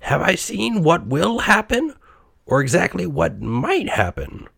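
An old storybook miser, acted out by a male narrator, pitched high and swooping with emotion. He is theatrical and earnest, every phrase worked up, in a dry recording. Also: huge pitch swings, a little slow, vocal fry, fairly bright tone, breathy edge, no echo, exaggerated voice. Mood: desperate pleading. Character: wicked old miser, frightened, pleading, repentant.

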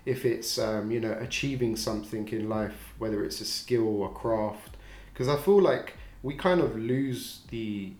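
A man's voice: fairly low pitch, measured pace, darkish tone, roomy sound. A man, a podcast guest chatting casually with lots of fillers and laughs. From North London. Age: 20s. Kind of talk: casual chat.

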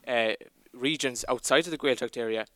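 A man's voice: mid-range voice, normal speed, a bit bright, no echo. A man hosting a live community radio interview, asking questions with hesitant repeated words. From Ireland. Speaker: radio host.

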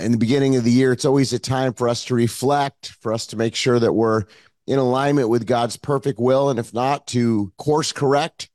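A man with a hoarse, froggy voice, preaching an online Sunday sermon as pastor in an earnest, conversational way with frequent fillers. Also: medium pitch, a little mellow, dry recording, studio quality.

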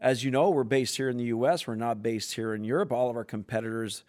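A man of middle pitch answers podcast questions as a sales executive. He speaks earnestly and with enthusiasm, full of corporate phrasing and some filler words. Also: fairly even intonation, fast, a little mellow.

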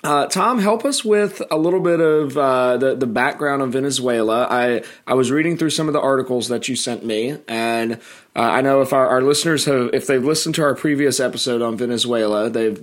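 A middle-aged American man hosting an educational interview, chatty, with fillers and restarts. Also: medium pitch. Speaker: podcast host.

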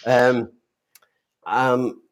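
A middle-aged man hosting an interview, talking casually with halting restarts and easy laughter. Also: medium pitch, little pitch movement. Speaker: podcast host.

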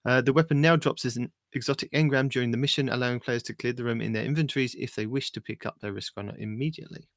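A man with a mid-pitched voice reads game patch notes aloud on a casual podcast, dropping joking asides between items. The sound is close and dry, with no room around it. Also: steady tone, fast talker, few pauses, no echo. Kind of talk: casual gaming podcast chat.

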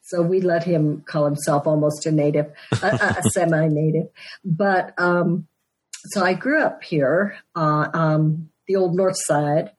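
Woman in her sixties, husky low voice, earnest podcast guest. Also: fairly even intonation, low-quality recording. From Denver, Colorado. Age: 60s. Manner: earnest storytelling, quick laughs. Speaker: guest, author and Christmas elf. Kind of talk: conversational podcast interview.

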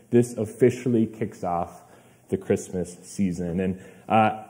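Young male pastor, a bit hoarse, dark mellow voice, easygoing conversational sermon. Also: mid-range voice. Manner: casual, chatty preaching with fillers and asides. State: slightly hoarse.

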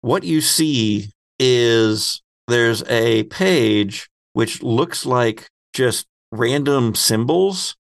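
A man acting as game master of a tabletop role-playing game, narrating scenes in a deliberate, descriptive way. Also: mid-range voice, no echo.

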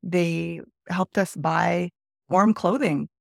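A middle-aged Canadian woman, a native Spanish speaker, tells personal stories in an interview. Her voice has a noticeable vocal fry and sits close and dry on the recording. She phrases things in a measured, reflective way. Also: on the low side, dry recording. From northern Alberta, Canada, born in Peru. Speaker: podcast guest.

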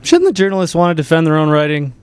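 A man's voice: a little high, very animated, fast talker, smooth. A man chatting casually as co-host of a live political talk show.

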